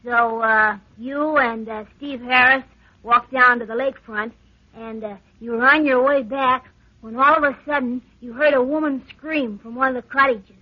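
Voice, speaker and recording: A high, youthful voice from a woman actor plays an eager assistant in a vintage radio play. The delivery is earnest and halting, slightly muffled by the old recording. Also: fairly even intonation, natural voice. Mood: earnest, hesitant. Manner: hesitant recounting with ums. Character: eager, obliging young assistant Johnny. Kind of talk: old-time radio drama acting.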